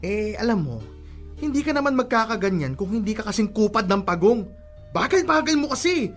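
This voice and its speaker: A man's voice: sits high, fairly quick, faint music behind. A man with a Filipino accent acting a teasing, pushy young cousin in a radio drama. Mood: teasing, laughing. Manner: animated, ribbing, bossy. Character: teasing, pushy young male cousin giving love advice.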